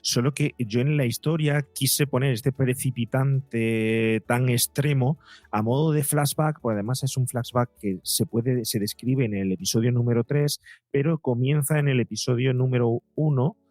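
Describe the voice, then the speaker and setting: A man's voice: mid-range voice, steady tone, normal speed, darkish tone, no room sound, soft background music. Man from explaining, dry close sound. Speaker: psychologist and podcaster, interview guest. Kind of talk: explanatory interview talk. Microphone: close podcast mic, seated at a table.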